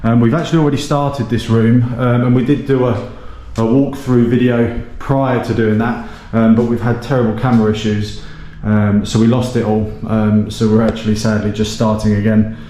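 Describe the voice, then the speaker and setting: A man's voice: mid-range voice, little pitch movement, moderate pace, hardly any pauses, warm tone. Hesitant man touring an echoey cottage. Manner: hesitant, many ums, self-correcting. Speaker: renovation channel host. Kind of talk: casual walkthrough tour. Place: gutted small cottage, indoors and out. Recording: echoey bare rooms.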